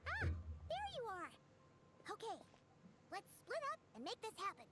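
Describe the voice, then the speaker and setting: A woman voicing a tiny, excitable floating companion girl in a game, who talks about herself in the third person. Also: very high voice, unhurried, very noisy, heavily acted. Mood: curious. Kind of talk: game voice acting.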